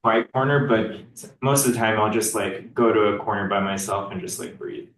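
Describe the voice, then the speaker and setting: A hesitant man with a fairly low voice, stopping and restarting often. He sounds distant from the mic in an echoey room. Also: normal speed, a bit bright. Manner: hesitant, lots of fillers. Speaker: seminar participant.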